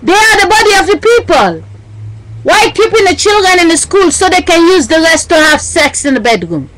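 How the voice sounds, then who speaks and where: A woman's voice: very high-pitched, sing-song, normal speed, a crowd in the background, poor audio. A woman talking into her webcam in a rambling, agitated monologue, repeating herself and using broken, non-native grammar. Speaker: webcam vlogger. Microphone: built-in webcam mic.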